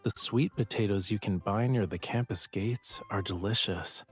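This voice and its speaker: A man's voice: fairly low pitch, moderate pace, husky. A playful young art professor in a romance game. He teases his partner in an understated, aloof way, with music playing behind his voice. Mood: musing.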